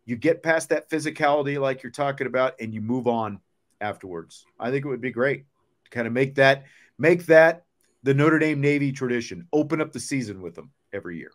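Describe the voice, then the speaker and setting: A man's voice: medium pitch, lively intonation, a little fast. A man hosting a casual sports talk show, conversational and rambling as he restates his points.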